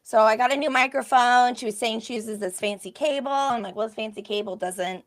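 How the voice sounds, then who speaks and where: A woman with a gravelly voice co-hosting a podcast, chatting in a lively, talkative way. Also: on the high side, a little fast. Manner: chatty, animated, self-described extrovert. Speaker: podcast co-host. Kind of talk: casual chat.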